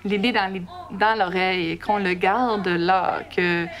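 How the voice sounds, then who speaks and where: A woman's voice: medium pitch, fairly even intonation, a little slow, a crowd in the background. A woman explaining her view in an interview, with a searching delivery. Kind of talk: documentary interview.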